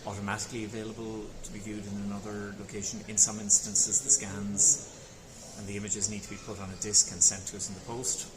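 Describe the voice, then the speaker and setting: A man, a consultant radiologist, explaining things in a measured, methodical way in a conference interview, sometimes restarting a phrase. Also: on the low side, flat delivery. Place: busy conference venue. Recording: echoey venue with loud ambient noise.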